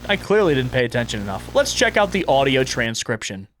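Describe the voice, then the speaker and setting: A man doing casual video game playthrough commentary, reading prompts aloud and reacting as he thinks out loud. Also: medium pitch, very animated, a little fast, a little hiss or hum, poor audio. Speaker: gaming content creator and streamer.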